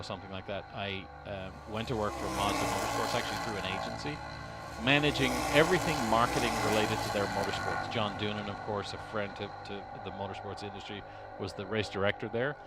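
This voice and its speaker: A middle-aged man from Dublin with an Irish accent, talking as an interview guest at a race track, pausing often and restarting his sentences. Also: lowish voice. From Ireland. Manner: hesitant, many restarts and fillers. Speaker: interview guest, racing team executive. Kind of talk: casual interview. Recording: faint noise at a race track.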